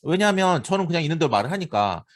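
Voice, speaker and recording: Brief: Korean male professor in his forties, Seoul accent, fast and animated, slightly high, quizzes the class as he goes. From Seoul, South Korea. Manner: lively, talkative, addresses students directly with rhetorical questions. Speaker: university lecturer. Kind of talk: classroom lecture.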